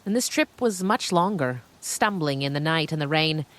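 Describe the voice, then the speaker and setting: A woman storyteller reading scripted fiction with dramatic, measured phrasing and deliberate pauses. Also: deep voice, animated, fairly bright tone. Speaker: storyteller, podcast narrator.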